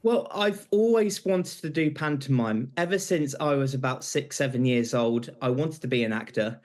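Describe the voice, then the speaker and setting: A man's voice: medium pitch, normal speed, a little mellow. Earnest young British man being interviewed. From Britain. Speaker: interview guest, pantomime graduate. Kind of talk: live TV interview answers.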